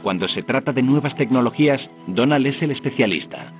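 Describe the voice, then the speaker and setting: A man's voice: medium pitch, expressive, a little fast. A man reading a scripted documentary narration. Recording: music behind the voice. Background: background music.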